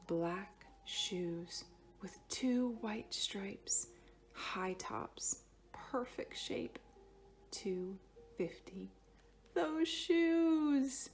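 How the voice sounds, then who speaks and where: A woman reading a children's picture book aloud expressively, with dramatic phrasing that stretches some words and hushes others. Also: mid-range voice, animated, extremely slow, a little hiss or hum. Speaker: storybook reader.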